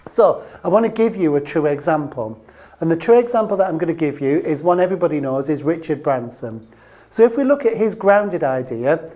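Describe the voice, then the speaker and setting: A woman's voice: low voice, warm tone. A woman presenting an instructional business training video, addressing the viewer directly and leaning on key terms.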